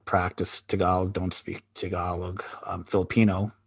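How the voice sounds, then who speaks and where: A man co-hosting a podcast, talking candidly in a halting, reflective way with many pauses and restarts. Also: low-pitched, little pitch movement, vocal fry, slightly rough, no room sound. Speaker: podcast co-host. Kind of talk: candid conversational chat.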